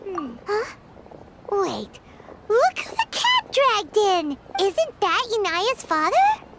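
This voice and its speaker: A woman voicing a tiny, childlike fairy companion in a video game, squeaky, curious, blunt and exclamatory. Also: wildly swooping pitch, takes it slowly, heavy background noise, exaggerated voice. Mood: musing, blunt. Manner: exclamatory, talks in third person.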